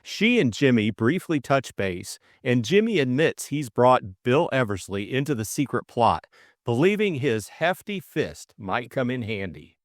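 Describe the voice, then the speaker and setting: A man's voice: mid-range voice, very animated, unhurried, dry recording, clean studio sound. A man reading a scripted story recap and novel as an audiobook co-narrator, in dramatic style.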